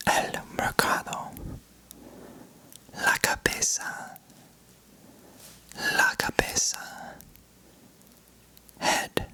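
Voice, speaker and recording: A young man softly whispering a slow ASMR vocabulary lesson, repeating each word calmly. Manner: soft unvoiced whisper, slow word-by-word repetition. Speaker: ASMR creator teaching vocabulary.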